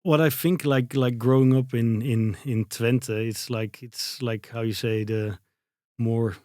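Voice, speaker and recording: A man's voice: mid-range voice, steady tone, measured pace, warm tone, no echo, studio quality. A Dutch man in his thirties, a native Dutch speaker, answering interview questions in English with a Dutch accent, hesitant and searching for words with many fillers. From Netherlands. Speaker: guest, company founder.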